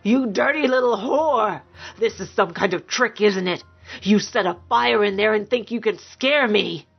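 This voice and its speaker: A woman's voice: medium pitch, unhurried, vocal fry, breathy edge, soft background music. Brutish abusive male lover played by a woman narrator, growling and contemptuous, storybook drama, slightly muffled sound. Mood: enraged, contemptuous. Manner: growling, bullying, roaring contempt. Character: big brutish angry man, abusive sneering lover.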